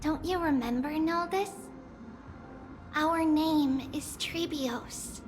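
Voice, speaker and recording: Very high, childlike high priestess. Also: female voice, a little hiss or hum. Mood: gentle, grave. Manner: childlike yet formal, measured. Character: ancient high priest in a little girl's body, gently authoritative. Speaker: voice actor in a video game. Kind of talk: dramatic game acting and ballad recitation. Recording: music behind the voice.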